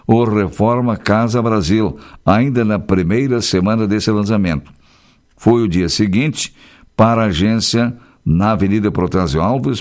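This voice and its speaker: A man's voice: on the low side, darkish tone. Chatty man reading local news. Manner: chatty, folksy commentary between read news items. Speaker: radio news host.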